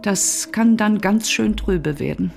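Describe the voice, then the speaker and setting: A woman's voice: mid-range voice, slow pace, vocal fry, a little mellow, faint music behind. An actress playing a dry, disillusioned divorced woman in a radio play, speaking with a sardonic edge. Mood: dry, detached. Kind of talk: radio drama acting.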